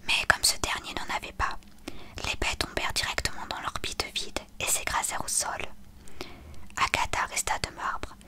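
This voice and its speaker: A woman reading a story aloud as scripted narration, expressive and hushed. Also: moderate pace, whispered. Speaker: audiobook reader.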